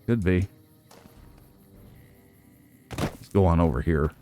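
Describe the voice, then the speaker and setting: A man hosting a let's play with casual, musing commentary, as if thinking out loud to himself. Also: deep voice, brisk, background noise. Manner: musing, nitpicking, talks to himself.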